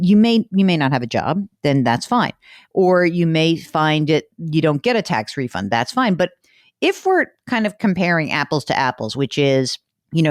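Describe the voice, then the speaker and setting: A woman's voice: low-pitched, dry recording, studio quality. A woman hosting a personal finance show, talking in a chatty, emphatic way. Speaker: money show host. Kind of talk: conversational radio podcast talk.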